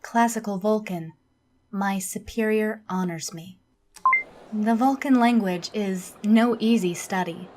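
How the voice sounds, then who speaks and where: A woman playing a logical alien officer in an audio drama, speaking in a measured, formal, unemotional manner. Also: medium pitch, warm tone, a little hiss or hum, close to an everyday voice. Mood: composed, courteous. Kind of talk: audio drama interview and log narration.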